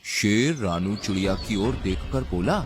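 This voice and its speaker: A man's voice: lowish voice, expressive, measured pace, music in the background. A man narrating a scripted children's story as the storyteller.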